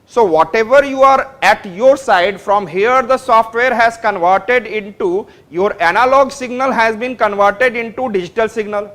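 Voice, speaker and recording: A man's voice: high-pitched, moderate pace, hardly any pauses, fairly bright tone. A man teaching a class in Indian-accented English, repeating his points step by step and often checking that students follow. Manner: repetitive, explains step by step, checks understanding. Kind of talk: classroom lecture.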